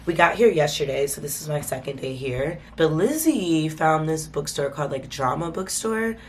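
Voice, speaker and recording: A woman chats informally into a handheld camera, calling her viewers y'all as she talks them through her day. Her voice sits a little low and sounds congested and stuffy from a cold. Faint ambient noise hangs behind her. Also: animated, moderate pace. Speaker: vlogger host. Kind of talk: casual vlog chat to camera.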